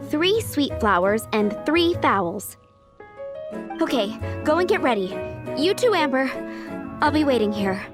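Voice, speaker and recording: A woman's voice: high-pitched, big pitch swings, a little slow, long pauses. An actress playing a young woman who runs a busy restaurant, earnest, flustered and businesslike, over game music. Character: young woman running a busy restaurant, harried but kind.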